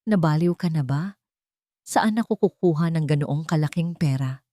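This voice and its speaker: A woman's voice: low-pitched, normal speed, warm tone, dry recording, natural voice. A cornered young wife as a woman narrator plays her in an audio romance drama. She is cold and guarded, answering tersely and defensively, with a dry, close sound. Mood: cold defiance.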